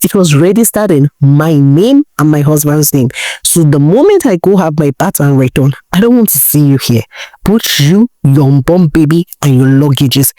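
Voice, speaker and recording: A woman's voice: sits low, animated, moderate pace, mellow, no echo. A woman storyteller voicing a betrayed, defiant wife with a Nigerian accent, scolding emphatically. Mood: furious, threatening.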